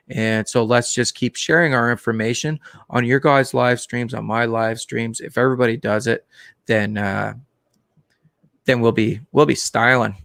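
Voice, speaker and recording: Monotone guy chatting on his fish-tank livestream, gravelly, dry sound, lots of uhs. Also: mid-range voice, no echo. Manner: plain, unhurried talk with many fillers and restarts. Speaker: aquarium hobby live streamer. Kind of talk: casual live stream chat.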